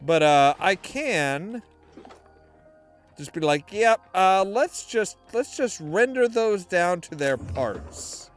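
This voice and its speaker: A man's voice: high voice, very animated, takes it slowly, soft background music. A man giving casual, slangy, sweary commentary while playing a video game. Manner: profane, slangy.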